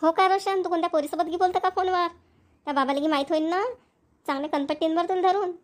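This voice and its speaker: A woman's voice: very high-pitched, steady tone, fast talker. A woman playing a nagging village mother in a cartoon family comedy, with a Vidarbha Marathi accent. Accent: Maharashtrian, Vidarbha Marathi. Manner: nagging, scolding. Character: scolding village mother of bickering children.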